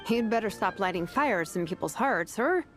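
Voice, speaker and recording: A woman voicing a laid-back, wry young female vice captain who talks in a relaxed, teasing way. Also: medium pitch, normal speed, lightly acted. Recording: game cutscene with background music.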